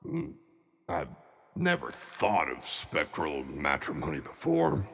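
Grumpy old ghost for a radio play, super slow n deep, pompous, blustery. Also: male voice, wildly swooping pitch, gravelly, heavily acted. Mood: pondering. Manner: pompous, blustering, drawn-out delivery. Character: cantankerous, pompous centuries-old male ghost. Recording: long cavernous reverb on voice.

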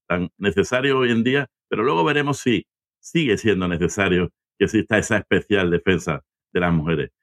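A man with a fairly low voice hosts an interview podcast. He speaks conversationally as he presents and questions a guest, and the sound is dry, with little room on it. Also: dry recording.

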